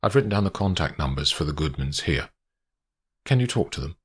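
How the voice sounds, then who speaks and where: A man's voice: extremely low pitch, animated, fairly quick, husky, exaggerated voice. A man playing an anxious, short-tempered wealthy client who talks in a curt, tense manner. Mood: worried.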